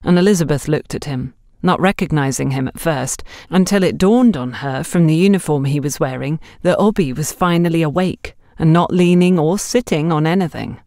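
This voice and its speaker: A woman's voice: low-pitched, normal speed, few pauses, dark tone, no room sound, studio quality. A woman reading a scripted story as an audiobook narrator.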